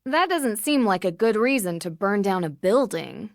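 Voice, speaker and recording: A woman voicing an earnest, inquisitive young American detective in scripted video game dialogue, speaking politely and clearly with persistent questions. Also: medium pitch, expressive, moderate pace, no room sound, studio quality, lightly acted. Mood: earnest, persuasive. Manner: polite, probing, clearly articulated.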